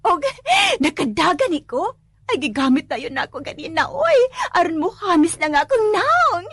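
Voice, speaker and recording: A woman's voice: extremely high pitch, sing-song, normal speed, fairly bright tone, dry recording, heavily acted. A woman playing an eager, coaxing, giggly wife in a Filipino radio drama, speaking with a Filipino accent. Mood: gushing. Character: eager, pleading wife keen on a beauty-product networking scheme.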